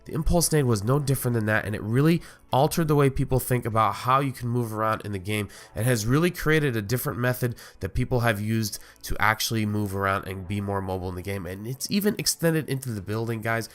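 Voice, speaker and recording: Fast-talking nasal man with a cold. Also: on the low side. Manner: rambling, filler-heavy, restarts. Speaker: gaming YouTuber host. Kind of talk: casual gaming commentary.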